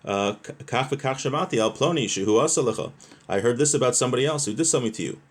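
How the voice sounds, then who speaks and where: A man's voice: medium pitch, animated, fast. A man giving an informal religious law lesson, explaining as he goes and using Hebrew terms and phrases. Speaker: rabbi teaching a religious law class. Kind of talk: informal lesson, reading a text aloud and explaining.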